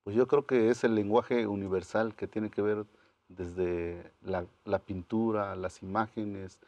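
Soft-spoken young man, low voice. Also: takes it slowly, dry recording, muffled recording. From Oaxaca, Mexico. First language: Mazatec. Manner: calm, searching, with many fillers. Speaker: guest artist. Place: indoor TV studio set. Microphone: lapel.

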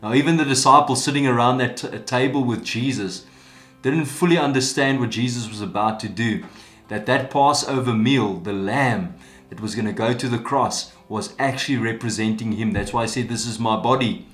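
A man's voice: medium pitch, moderate pace. A man in his forties, a pastor, invites the church to its services, speaking straight to the camera and inviting people in. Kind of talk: church announcement video. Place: small indoor room with plants and an orange chair. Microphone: camera framed from the chest up. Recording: echoey room with soft guitar music.

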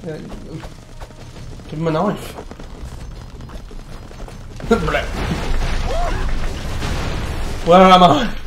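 A young man with a high, light voice, co-hosting a game playthrough in joking, laughing, swearing banter. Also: wildly swooping pitch, extremely slow, long pauses, roomy sound, noisy background. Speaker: let's play co-host. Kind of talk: casual gaming chat. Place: home room at a gaming desk.

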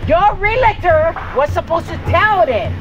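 A woman's voice: very high voice, expressive, unhurried, highs cut off, noisy background. An older woman confronting workers by a rural road, insistent and scolding, pressing her questions. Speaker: mother defending a family burial site. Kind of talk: heated confrontation.

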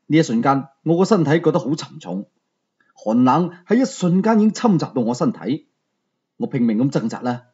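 A male storyteller with a Hong Kong Cantonese accent narrates in a colloquial, conversational style. His voice is fairly high and husky, with lively swings in pitch. The recording is a little dull and lo-fi. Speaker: audiobook storyteller. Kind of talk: dramatic first-person story narration.

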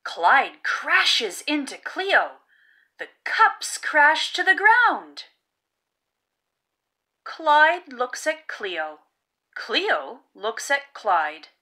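A woman reads a storybook aloud for little children with hugely varied, swooping intonation. Her voice is bright and a bit high, and she enunciates each phrase deliberately. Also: big pitch swings. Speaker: children's read-aloud host. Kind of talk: picture-book read-aloud for young children.

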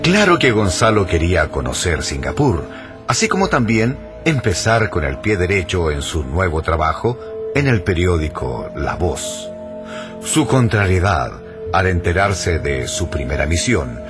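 A man giving dramatic scripted narration for a radio drama. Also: low-pitched.

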